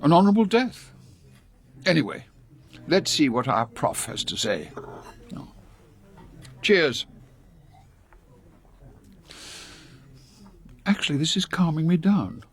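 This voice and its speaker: An actor playing an authoritative older British spy chief, brisk and ruthless, with clipped, formal delivery in a radio drama. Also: male voice, on the high side, sing-song. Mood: weary, wry.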